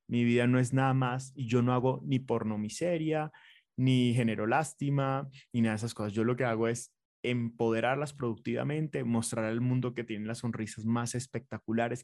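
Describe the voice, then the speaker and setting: A man's voice: medium pitch, creaky, a little mellow, dry recording, studio quality. A man with a Colombian accent, a designer being interviewed, talking in an earnest, reflective way with fillers and restarts. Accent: Colombian Spanish. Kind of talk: conversational interview.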